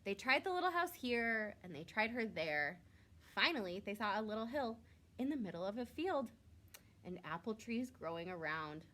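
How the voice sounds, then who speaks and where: A woman reading a picture book aloud to young children, expressively, drawing out words for emphasis like a teacher. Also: medium pitch. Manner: expressive, with drawn-out emphasis and questions to listeners.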